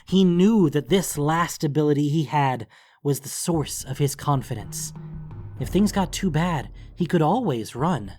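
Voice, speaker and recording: A man narrating a fantasy story in a dramatic, scripted audiobook style. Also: mid-range voice.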